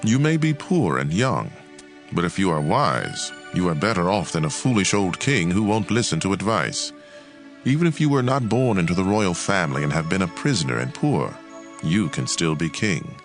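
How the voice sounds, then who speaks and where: A man with a very low voice reads scripture as a dramatized audiobook. His delivery is emphatic and measured, moving expressively up and down, with faint music underneath. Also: sing-song.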